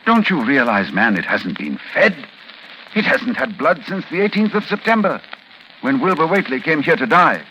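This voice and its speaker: A man's voice: on the high side, big pitch swings, normal speed, noisy background. A man acting a scholarly doctor in a 1940s radio horror drama, grave, intense and desperate as he narrates. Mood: urgent, dread. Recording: 1940s radio recording with loud music.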